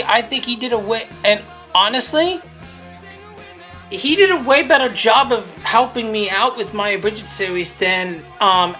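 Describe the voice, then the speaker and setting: A woman's voice: mid-range voice, fairly bright tone. A woman giving a casual, unscripted commentary in a rambling, blunt way, often restarting and repeating her phrases. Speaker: creator of a fan abridged series.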